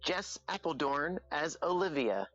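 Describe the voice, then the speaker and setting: A woman's voice: low voice, expressive, a little slow, a bit bright, highs cut off, soft background music. A woman reading out cast credits as a scripted announcement.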